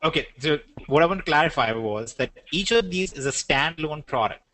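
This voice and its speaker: A man's voice: a little high, expressive, brisk, a bit bright, slight background noise, low-quality recording. A man with an Indian accent, co-hosting a business webinar and explaining products in a casual, joking way. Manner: joking, then plainly explaining. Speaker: webinar co-host.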